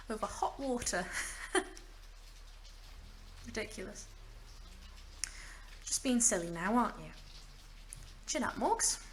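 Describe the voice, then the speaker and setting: A bright, clear-edged mage voiced by a woman, marooned alone for centuries in an audio drama. She rambles to herself with bitter, wry self-mockery and a fragile edge, over noticeable background noise. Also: mid-range voice. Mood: tearful, self-mocking. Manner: rambling, self-mocking asides, sighs. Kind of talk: audio drama monologue, naturalistic acting.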